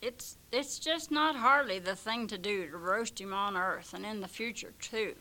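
A hoarse woman reciting a comic rhyming poem in an unhurried, expressive way. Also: mid-range voice, a little hiss or hum, low-quality recording.

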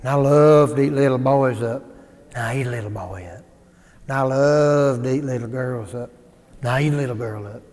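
A man voicing a huge, gluttonous bad bear in a folktale, chanting slowly and menacingly with growls. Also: medium pitch, a little mellow, lightly acted. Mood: menacing, boastful. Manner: slow menacing sing-song chant, growling. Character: huge gluttonous bad bear bragging about eating people. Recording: echoey hall with long reverb.

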